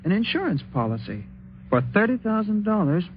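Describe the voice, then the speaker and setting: An actor playing a practical, concerned young husband, plainspoken and a little hesitant, in a 1950s radio drama. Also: male voice, sits high, very animated, brisk. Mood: puzzled. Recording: muffled, noisy old radio recording.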